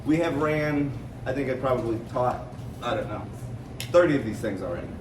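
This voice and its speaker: A man's voice: medium pitch, expressive, brisk. American man coaching in a noisy, echoey room. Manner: conversational, rambling, explains with analogies. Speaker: fitness coach leading a seminar. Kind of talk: informal seminar talk to a group. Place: room with an audience, mic at a distance. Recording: roomy, distant mic, clear background noise.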